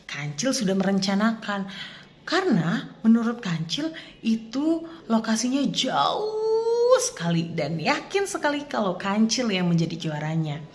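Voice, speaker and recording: A woman's voice: fairly high pitch, big pitch swings, a little slow. A young woman, a student storyteller, narrating expressively for young children.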